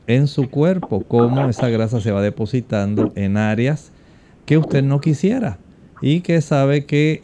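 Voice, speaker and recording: A man calmly explaining nutrition and exercise on a call-in show, speaking directly to the listener. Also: fairly low pitch, noisy background. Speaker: health expert guest. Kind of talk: explaining to callers.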